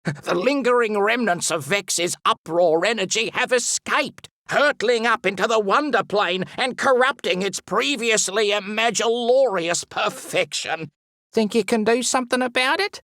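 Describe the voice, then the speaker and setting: A man playing a fussy, excitable and pompous explorer and wildlife expert in an animated video game, speaking theatrically with whimsical made-up words. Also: high voice, measured pace, no room sound, studio quality, full character voice. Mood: worried, earnest. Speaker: voice actor.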